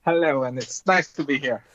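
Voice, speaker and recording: Through slightly muffled, lo-fi call audio, a man in his thirties chats as an interview guest. He has a Hungarian accent and a mid-pitched voice, and answers in a casual, conversational way. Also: measured pace, a bit bright. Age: 30s. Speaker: guest, board game designer. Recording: dull, lo-fi online call audio.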